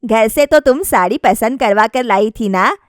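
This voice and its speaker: Woman acting a high-pitched nagging mother-in-law. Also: measured pace, no room sound, studio quality, close to an everyday voice. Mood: disapproving. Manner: scolding, questioning. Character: stern nagging older mother-in-law, easily displeased. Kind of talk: acted dialogue in a Hindi family story.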